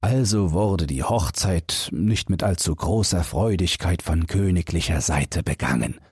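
A very husky man with a low voice reads a bedtime fairy tale. He takes his time, phrasing each sentence deliberately as an audiobook narrator. The recording is close and dry. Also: a little mellow, no echo. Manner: measured, storybook reading with deliberate phrasing.

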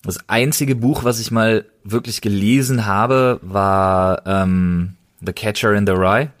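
A man's voice: lowish voice, steady tone, slow pace. A young man co-hosting a casual podcast, speaking in slang and repeating words for emphasis. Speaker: podcast co-host.

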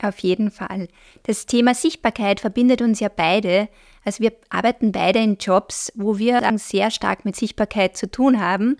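Slightly hoarse woman co-hosting a podcast. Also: medium pitch, steady tone, a little mellow. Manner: measured, friendly, uses fillers. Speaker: podcast co-host. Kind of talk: casual podcast chat. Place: podcast studio. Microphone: podcast microphone.

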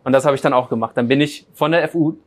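A man's voice: mid-range voice, quick pace. A man from Berlin in his thirties chats about his path as a guest. He talks loosely with frequent fillers and restarts, in an ordinary mid-range voice. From Berlin, Germany. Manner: rambling, many fillers and restarts. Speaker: data scientist guest. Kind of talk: casual interview chat.

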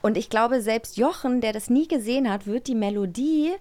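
A woman's voice: a little high, normal speed, warm tone. A woman from eastern Germany co-hosting a podcast in casual, conversational talk. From East Germany. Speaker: podcast co-host. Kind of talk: casual chat.

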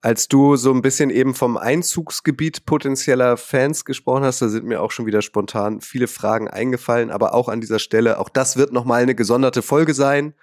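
A male podcast host puts long, winding questions to his guest in a casual interview. His voice is mid-pitched and recorded dry, with no room around it. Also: steady tone, a little fast, few pauses, darkish tone, no room sound.

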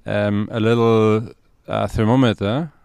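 A man from Austria in his forties, a podcast guest and entrepreneur, talking in a relaxed, hesitant way with many fillers. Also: lowish voice, unhurried, vocal fry, clear, resonant tone, no echo. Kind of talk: casual interview conversation.

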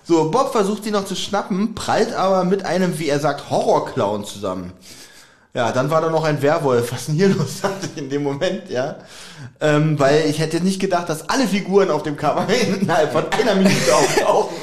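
A man's voice: fairly high pitch, fairly quick, roomy sound. A man co-hosting a casual chat, with a teasing and wry manner. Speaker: podcast co-host.

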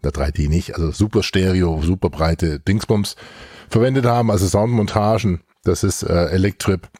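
Low-voiced man in casual podcast banter. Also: normal speed, mellow, no echo, studio quality. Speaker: podcast host. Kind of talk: casual chat.

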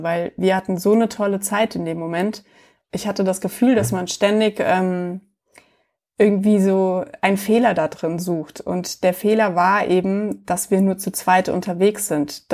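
A woman telling her own story as an interview guest, reflective and hesitant, with many pauses and fillers. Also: medium pitch, darkish tone.

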